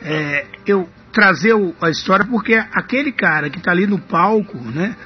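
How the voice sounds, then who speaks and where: A man's voice: high-pitched, animated, normal speed, soft background music. A man hosting a radio interview, chatty and full of tag questions. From Minas Gerais, Brazil. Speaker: radio host. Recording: dull radio broadcast.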